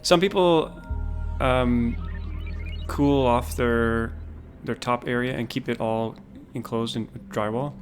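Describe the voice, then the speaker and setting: Calm, low-key man streaming a game. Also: faint music behind. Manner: relaxed, unhurried, thinks aloud with restarts. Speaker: livestreamer. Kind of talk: casual gameplay commentary.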